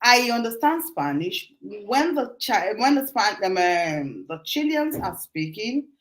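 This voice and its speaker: A middle-aged woman from Nigeria, a guest talking casually about her life, animated and quick to laugh, often restarting her words. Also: medium pitch. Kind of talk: casual interview talk.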